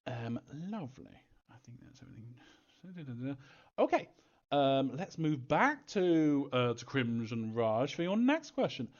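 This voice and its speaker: A man's voice: mid-range voice, sing-song, a little slow, highs cut off. A man answering fan questions as a game creator in a casual live Q&A, rambling hesitantly and thinking aloud as he goes.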